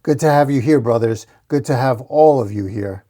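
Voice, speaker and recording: A man voicing a domineering, hateful gang leader who yells to rally his thugs in a comic book story. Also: mid-range voice, normal speed, natural voice. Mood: menacing welcome. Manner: yelling, domineering.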